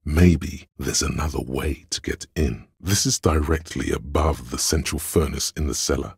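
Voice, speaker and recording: An actor playing a calm, analytical inner voice of logic in a video game, gravelly and husky, speaking in a dry, measured, knowing way. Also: male voice, extremely low pitch, no echo, heavily acted. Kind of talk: video game voice-over narration.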